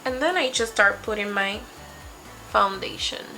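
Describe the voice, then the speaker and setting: A young woman, Spanish her first language, speaks slowly with a gravelly edge as she walks through each makeup step. Music plays clearly behind her voice in a small home room. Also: mid-range voice, sharp tone, low-quality recording. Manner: chatty, talks through each step while applying makeup. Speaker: beauty vlogger. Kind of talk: makeup tutorial. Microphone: webcam framing, seated at desk.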